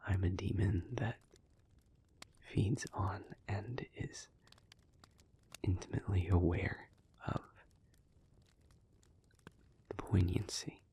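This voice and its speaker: A man playing an earnest, analytical demon in an intimate audio roleplay, speaking in a hushed, breathy, measured and deliberate way. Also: low-pitched, exaggerated voice. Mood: reflective, confessional. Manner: measured, deliberate, halting, formal wording. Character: earnest, analytical male demon, gently devoted and restrained.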